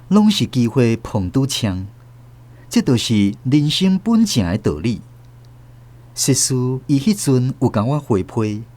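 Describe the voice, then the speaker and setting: A man's voice: mid-range voice, a little mellow. An actor with a Taiwanese accent playing a wistful older man who narrates his memories of a lost love in a radio drama.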